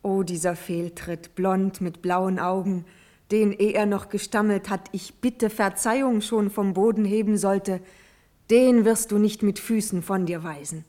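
A woman's voice: medium pitch, fairly even intonation, unhurried, warm tone, natural voice. An actress playing a devoted young noblewoman in a classical verse drama, speaking with solemn, elevated declamation. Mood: impassioned pleading. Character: devoted, brave young noblewoman pleading for her cousin.

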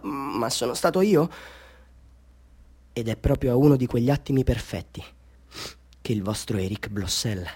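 An actor playing an excitable, self-doubting amateur photographer telling his story in a theatrical radio monologue, moving between confiding and fervent delivery. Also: medium pitch, breathy edge.

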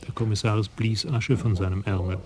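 A man narrating a radio crime play in a calm, literary way. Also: lowish voice, a little fast. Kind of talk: scripted narration.

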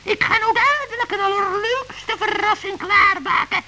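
A woman's voice: very high voice, moderate pace, pushed and bright, slight background noise, low-quality recording. A woman voicing a scheming, gloating female forest witch in a children's radio play, cackling and drawing out her words.

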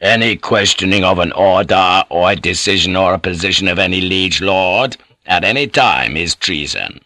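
A man voicing a cold, ruthless Japanese feudal warlord in an audiobook, curt, commanding and deliberate. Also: sits low, sharp tone, no room sound, voice pushed down, heavily acted. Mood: cold accusation. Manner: curt, menacing, slow and deliberate. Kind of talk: dramatic audiobook character reading.

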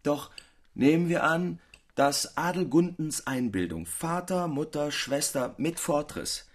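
Animated, earnest literary gentleman. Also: a little high. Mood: grave, fascinated. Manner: deliberate, rhetorical, formal. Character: earnest literary friend musing on uncanny horror. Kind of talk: literary radio drama acting.

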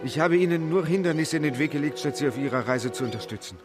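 An actor playing a distraught, guilt-ridden manservant. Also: male voice, a little high, animated, fast, over music, close to an everyday voice. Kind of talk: radio play acting.

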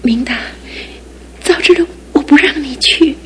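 An actress playing a tender, resolute young fiancée, speaking softly and near a whisper, in a radio drama with music behind. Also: female voice, sits high, unhurried, slight background noise. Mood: anxious. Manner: soft, soothing, often near a whisper.

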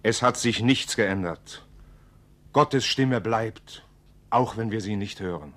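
A stern, weary street prophet in a radio drama. His voice is very low, solemn and curt, pronouncing maxims with conviction. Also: slow pace, fairly bright tone. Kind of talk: German radio play acting.

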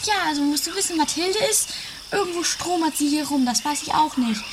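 A woman's voice: sits high, expressive, a little fast. A woman playing a bossy, scatterbrained wife and self-declared great guru, dithering and argumentative, in an improvised comedy radio play on a noisy old amateur recording. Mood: distracted, rambling.

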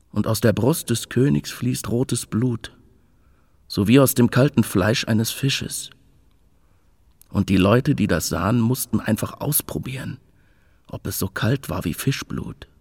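An actor playing a disgruntled, brooding royal guardian in a radio play, sardonic in manner. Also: male voice, mid-range voice, takes it slowly, gravelly, pauses often, a little mellow, slightly rough, heavily acted. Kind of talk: radio play acting, monologue and dialogue.

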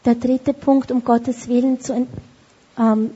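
Flat, husky woman interpreting. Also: fairly high pitch, a little slow. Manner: steady, with small self-corrections. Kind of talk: consecutive sermon interpretation. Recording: dull lo-fi recording.

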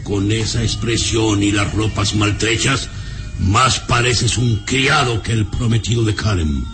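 A man's voice: fairly low pitch, a little slow, music in the background, lightly acted. A man playing an imperious, scornful baron and father in a theatrical, haughty, commanding radio serial style. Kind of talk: old radio serial acting.

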